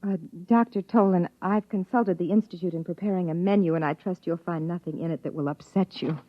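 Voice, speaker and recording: A woman's voice: on the low side, fairly quick, lightly acted. Woman playing an earnest scientist wife on vintage radio. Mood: polite, careful. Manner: formal, articulate, persuasive. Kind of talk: 1950s radio drama acting with first-person narration. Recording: dull old radio recording.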